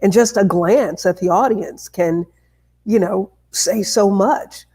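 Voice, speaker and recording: Lady host doing an interview, takes her time, soft-edged mellow tone, measured questions. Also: mid-range voice, breathy edge. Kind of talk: conversational interview hosting.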